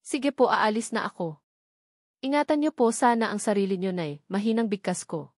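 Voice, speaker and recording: A woman reader plays a sad young heroine of a romance novel. She is timid and hesitant, speaking softly with a Filipino accent. The recording is dry and close. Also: medium pitch, normal speed, no echo, close to an everyday voice. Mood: sad, resigned. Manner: soft-spoken, hesitant.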